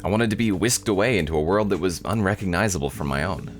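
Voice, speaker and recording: Man reading as a sardonic, deep-voiced young hero. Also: expressive, fast talker, faint music behind. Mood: resigned. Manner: dry, incredulous, narrating. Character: sardonic, disappointed young male isekai protagonist. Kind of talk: visual novel narration and dialogue.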